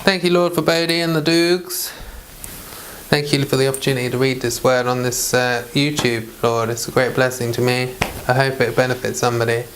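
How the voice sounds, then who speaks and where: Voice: mid-range voice, level tone, a little fast, a bit bright, a little hiss or hum. A person reading the Bible aloud as host of an informal read-along, mixing plain chatty asides with formal scripture.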